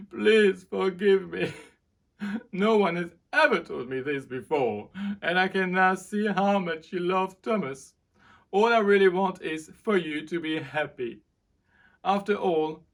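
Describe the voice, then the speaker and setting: A woman voicing an older king and father in a storybook, loud, emphatic and full of feeling. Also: on the low side, animated, slow pace, voice pushed up, full character voice. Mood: tearful, apologetic. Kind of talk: storybook character reading.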